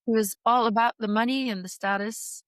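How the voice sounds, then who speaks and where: Woman in her sixties, from Belgium, smooth clear voice, dry close sound, halting reflective testimony. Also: on the high side, steady tone, no room sound. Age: 60s. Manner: reflective, halting, many fillers and restarts. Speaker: guest. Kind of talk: personal testimony in interview.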